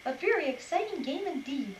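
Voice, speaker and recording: A woman voicing a gentle, agreeable little rabbit in a storybook, speaking in a high, sweet, squeaky voice. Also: big pitch swings, fairly quick, echoey room, recorded from a distance, a little hiss or hum. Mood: breathless.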